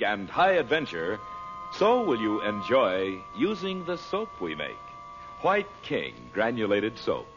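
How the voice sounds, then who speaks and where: An American man announcing in a formal, emphatic, scripted old-time radio style with dramatic delivery. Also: mid-range voice. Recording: muffled old radio broadcast recording. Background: music.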